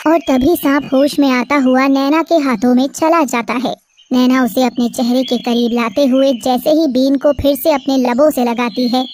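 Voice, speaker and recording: A woman narrating an animated story in a steady storytelling cadence. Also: sits high, slight background noise.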